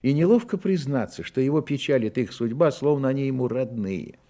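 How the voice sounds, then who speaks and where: A man's voice: medium pitch, lively intonation, fairly quick, dark tone. A man narrating a radio drama in a dramatic, scripted storytelling style.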